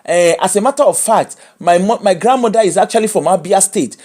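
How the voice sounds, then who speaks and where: A man with a Nigerian accent giving opinionated commentary, animated and mocking, slipping into pidgin. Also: sits high, brisk. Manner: animated, switches into pidgin and mimics people.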